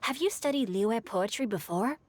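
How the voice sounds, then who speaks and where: A woman's voice: a little high, animated, moderate pace, darkish tone, a little hiss or hum, natural voice. A woman voicing a polite, bookish, well-bred teenage boy in a video game, speaking formally and eloquently. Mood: curious. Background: game music.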